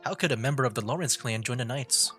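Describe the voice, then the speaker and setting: A woman's voice: rumbling low, fast, fairly bright tone, faint music behind. A woman streaming a game with casual, wry, slangy reactions in a laid-back manner.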